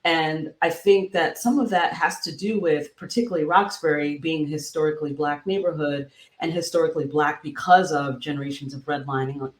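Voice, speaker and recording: A podcast co-host and real estate agent talking through practical questions about where to settle, in a thoughtful, conversational way. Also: female voice, low-pitched, roomy sound. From moved to Boston.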